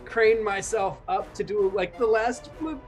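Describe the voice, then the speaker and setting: A man's voice: high-pitched, measured pace, soft background music. A man chatting casually as a player in a roleplaying game, jokingly describing what his character does.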